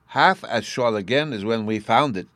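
A man's voice: mid-range voice, moderate pace, a bit bright, no echo, pitched above the performer's own voice, close to an everyday voice. A man voicing an eager, stubborn little fairy boy with a babyish lisp, in an audiobook. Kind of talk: audiobook character voice.

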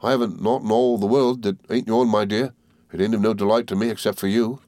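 A man's voice: lowish voice, steady tone, fairly quick, mellow, no room sound, studio quality, lightly acted. A man voicing a devoted, humble working-class young man in a storybook, soothing and earnest, speaking in rustic dialect. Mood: humble devotion.

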